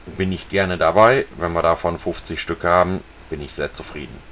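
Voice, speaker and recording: A man casually talking viewers through a fishing video game, relaxed and chatty. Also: low-pitched, moderate pace, slight background noise. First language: German. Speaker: gaming channel host. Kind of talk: casual let's-play commentary.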